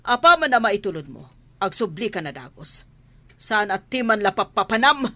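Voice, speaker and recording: A woman's voice: mid-range voice, very animated, normal speed, a bit bright. A woman playing a harsh, bossy household employer in a radio drama, scolding and giving imperious orders. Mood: curt, commanding. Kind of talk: radio comedy-drama acting in Ilocano.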